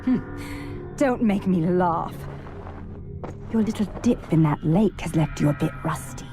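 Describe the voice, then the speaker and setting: An actress playing a proud, haughty rival witch in a video game cutscene, with a mocking, deliberate delivery. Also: fairly low pitch, lively intonation, warm tone, faint music behind.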